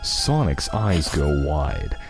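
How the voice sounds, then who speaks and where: A man's voice: rumbling low, very animated, slow. A man acting as narrator, reading a fan fiction script aloud in a dramatic style. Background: background music.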